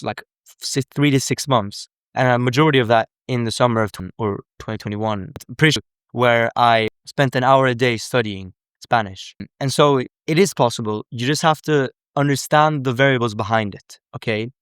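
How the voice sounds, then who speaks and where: Smooth-voiced young man explaining earnestly. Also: medium pitch, no room sound, clean studio sound. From Sweden. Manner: earnest, explanatory, full of self-corrections. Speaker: language coach. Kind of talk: casual unscripted live commentary.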